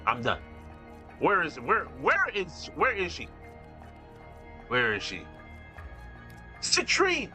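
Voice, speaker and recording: A young man streaming a game, commenting live in an excitable, profane rant full of repeats and restarts. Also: a little high, slow, long pauses, soft background music. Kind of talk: ranting live gameplay commentary.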